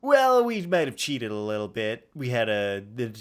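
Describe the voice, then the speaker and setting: A man's voice: medium pitch, wildly swooping pitch, normal speed, fairly bright tone, clean tone. A man streaming a video game, giving casual running commentary as he plays. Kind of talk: casual live gameplay commentary. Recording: game music behind.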